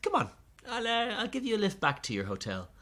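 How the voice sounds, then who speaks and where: A man's voice: high-pitched, huge pitch swings, brisk, sharp tone, full character voice. An actor playing a nervous man in pain, desperate and polite, with a strained voice, in an audio drama. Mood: uneasy, hurried. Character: nervous, suffering man desperate to be rid of his guest.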